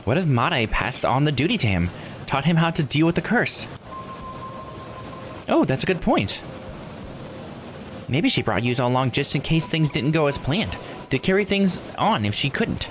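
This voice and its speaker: A man's voice: a little high, sing-song, moderate pace, pauses often, noisy background, voice pushed up. A man voicing an eager, thoughtful youngster who tentatively reasons through a mystery in a visual novel. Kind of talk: reading visual novel dialogue aloud. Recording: music under the voice.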